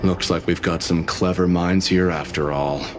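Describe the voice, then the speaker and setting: An actor playing a blunt, pragmatic messenger and guard in a video game, speaking in a measured, cynical, matter-of-fact way. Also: male voice, low-pitched, steady tone, over music, close to an everyday voice. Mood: grim, blunt. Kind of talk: scripted game voice acting.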